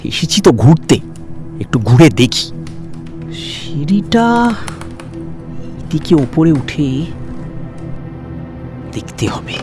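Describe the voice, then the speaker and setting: A man's voice: on the high side, sing-song, takes it slowly, pauses often. A man playing a cocky young livestreamer whose bravado cracks into fear as he chats hesitantly to his viewers. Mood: defiant, casual. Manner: chatty, hesitant, addresses viewers directly. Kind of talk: acted found-footage livestream monologue. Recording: background music, some ambient noise.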